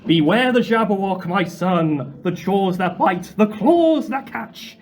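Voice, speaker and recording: A man giving a slow, emphatic, theatrical recitation of verse. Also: high-pitched, animated.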